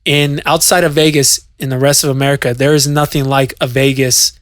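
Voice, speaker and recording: A man's voice: mid-range voice, little pitch movement, fairly quick, fairly bright tone, no room sound, clean studio sound. A male DJ co-hosting a podcast, telling a story at length in casual chat, with many fillers and restarts. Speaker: podcast co-host and DJ.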